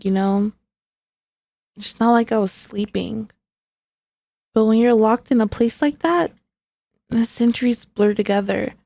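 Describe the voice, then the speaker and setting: A woman's voice: medium pitch, vocal fry, dark tone, no echo, clean studio sound. A woman playing a sassy, sneaky, possessive centuries-old female demon in an ASMR roleplay, speaking in a haughty, teasing, formally old-fashioned way. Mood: wry, resentful. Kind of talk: ASMR roleplay, scripted character acting.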